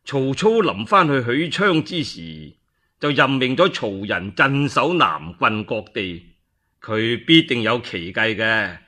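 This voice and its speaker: A man's voice: mid-range voice, sing-song, takes it slowly, bright, forceful tone, voice pushed down, heavily acted. Man as a courteous, plaintive Cantonese lord. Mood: courteous, sly.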